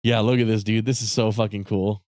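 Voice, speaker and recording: A man chatting casually with viewers on a live stream, rambling and swearing with lots of fillers, his voice starting to go raspy. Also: lowish voice, flat delivery, brisk, darkish tone, no echo, studio quality. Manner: rambling, profane, many fillers and restarts. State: voice getting raspy.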